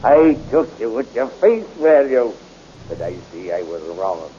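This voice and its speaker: A gloating despot king, slow-talking with a mellow, dark voice; 1930s radio serial, muffled old recording. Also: mid-range voice, very animated, slight background noise. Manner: slow, gloating, with menacing laughs. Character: tyrannical male bird-man king, gloating. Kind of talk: 1930s radio drama acting.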